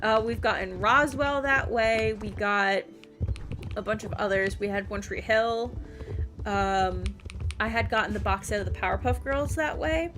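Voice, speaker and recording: A woman's voice: mid-range voice, a little slow, a bit bright, faint music behind. A woman livestreaming a game, chatting and rambling casually and reading things aloud as she plays. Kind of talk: casual stream chat and game commentary.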